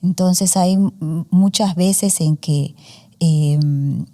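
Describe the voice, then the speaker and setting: A woman's voice: on the low side, drawn-out words, mellow. A woman in her forties, a student journalist, talking through her story in a casual interview with many fillers and English words mixed in. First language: Spanish. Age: 40s.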